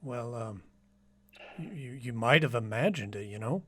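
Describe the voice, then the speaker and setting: An actor playing a doubtful, concerned brother who stammers gently in old-time radio drama style. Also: male voice, mid-range voice, animated, moderate pace. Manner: stammering, hesitant.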